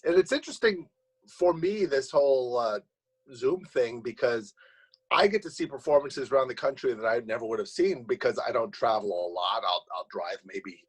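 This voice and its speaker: Halting, husky man in an interview. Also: medium pitch, rough recording. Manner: rambling, halting with repeated starts. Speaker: playwright being interviewed. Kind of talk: casual video-call Q&A. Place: video call.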